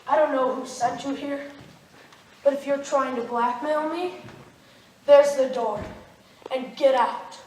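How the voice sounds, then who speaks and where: Female actor as defensive, guilty husband in amateur stage drama: slightly high voice, indignant and suspicious, heavily echoing room. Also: steady tone, takes it slowly, pauses often, a little mellow. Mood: angry, defiant. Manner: indignant, blustering, declamatory. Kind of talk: amateur stage play acting. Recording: very echoey, noisy room from far off.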